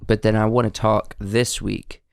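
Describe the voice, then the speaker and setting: Low-voiced Southern man with a cold. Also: flat delivery, measured pace, creaky, a little mellow, clear, resonant tone, dry recording, studio quality. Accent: American Southern. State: stuffy from a cold. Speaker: podcast co-host. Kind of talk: casual chat.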